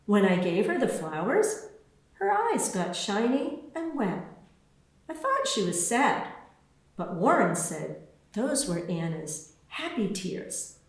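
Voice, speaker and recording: A woman author reading her children's picture book aloud in an expressive, deliberate storytelling way, with spaced phrases. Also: medium pitch. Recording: very echoey room.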